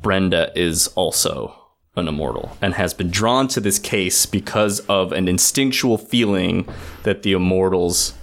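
A man's voice: sits low, animated, unhurried, creaky. A man co-hosting a casual film discussion, earnest and thinking aloud as he goes. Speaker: podcast co-host.

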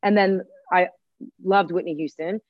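Low-voiced woman, dull lo-fi audio. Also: steady tone, a little slow, no room sound. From born in Cuba, grew up in Washington D.C. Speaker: interview guest, chef. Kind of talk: casual conversational interview.

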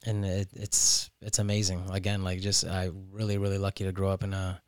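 A man in his thirties speaks as a guest in a casual interview. His voice is fairly low and smooth, recorded dry and close. He thinks aloud informally, pausing and peppering his talk with "you know". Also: completely flat delivery, no room sound. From Miami, United States, born in Peru. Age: 30s. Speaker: interview guest, restaurant owner.

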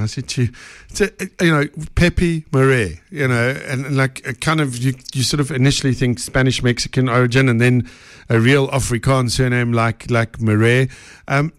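A man's voice: medium pitch, no echo. A male podcast host from South Africa interviewing a guest in a studio, talking animatedly and often repeating words as he goes.

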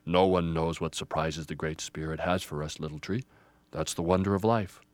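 A man voicing a wise, grandfatherly old pine tree with a deep booming voice and solemn manner, in a storybook. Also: fairly even intonation, slight background noise. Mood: gentle wonder. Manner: slow, solemn. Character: wise, ancient male ponderosa pine, grandfatherly and serene.

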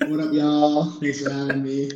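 A man's voice: on the high side, completely flat delivery, moderate pace, darkish tone, very echoey, dull audio, a little hiss or hum. A man in his twenties from New Jersey, a podcast guest talking casually and laughing often, with lots of fillers. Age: 20s. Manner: laid-back, laughs often, many fillers. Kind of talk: casual chat.

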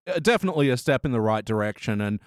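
A man's voice: medium pitch, animated, very fast, no room sound, studio quality. A man hosting a podcast, chatting casually and offering his opinions in a conversational way. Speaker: podcast host. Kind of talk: casual episode review chat.

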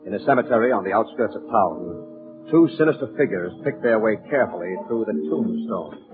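A man's voice: on the low side, lively intonation, brisk. A man announcing and narrating in emphatic, dramatic 1940s radio style. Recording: tinny old 1940s radio recording with music.

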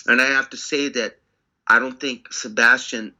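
A man, a guest on an interview, telling studio stories in a casual, animated way with short insistent bursts. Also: medium pitch, normal speed, dull audio. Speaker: record producer guest.

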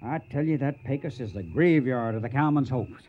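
An actor playing a gruff, hot-tempered trail boss in a radio western, with a folksy American Western drawl and an emphatic, commanding way of talking. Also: male voice, mid-range voice, normal speed, dull audio, close to an everyday voice. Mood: grumbling. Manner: folksy, emphatic, barking orders.

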